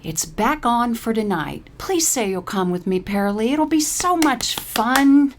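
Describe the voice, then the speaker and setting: A woman's voice: on the high side, expressive, unhurried, voice pushed up. A woman voicing a chipper, kind-hearted old lady with an eager, friendly manner in a comic story. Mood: excited.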